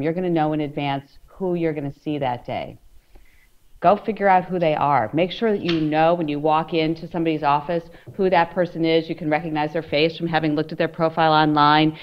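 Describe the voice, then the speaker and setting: A woman panelist with a loud voice, giving direct, conversational advice to law students. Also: low-pitched, brisk. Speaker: panelist, former federal prosecutor. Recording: dull lo-fi sound.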